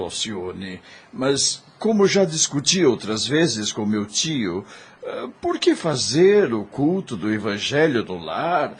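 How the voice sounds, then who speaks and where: Man acting an earnest, very husky Brazilian gentleman. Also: mid-range voice, full character voice. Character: earnest, doubting gentleman, father of the house. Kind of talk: audio drama acting.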